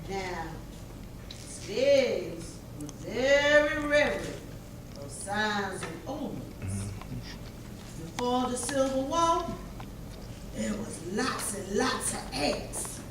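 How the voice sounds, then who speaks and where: A woman acting a dramatic folk narrator in readers theater, with drawn-out, expressive delivery. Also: fairly high pitch, full character voice. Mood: portentous. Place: chapel stage performance. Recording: very echoey chapel, distant mic, clear noise.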